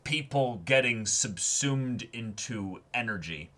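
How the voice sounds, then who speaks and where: A man co-hosting a reaction show, casually thinking out loud and spinning speculative theories. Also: medium pitch, expressive, slow pace. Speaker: co-host of an anime reaction show. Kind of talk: casual discussion.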